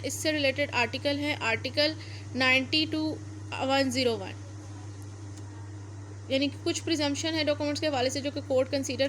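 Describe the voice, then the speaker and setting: High-pitched Pakistani woman giving a lecture. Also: a bit bright, slight background noise. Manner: mixes in English legal terms. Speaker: law lecturer. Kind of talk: explanatory lecture, point by point.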